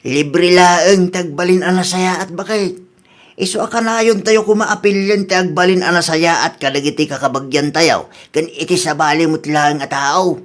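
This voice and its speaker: Male narrator for radio drama, high-pitched for a man, scripted moralising delivery. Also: moderate pace.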